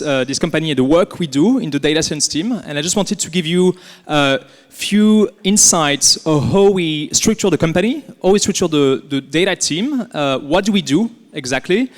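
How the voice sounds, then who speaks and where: A man from France with a French accent, giving a casual workshop presentation as a guest speaker, relaxed and full of fillers and repeated words. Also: on the high side. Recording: echoey hall.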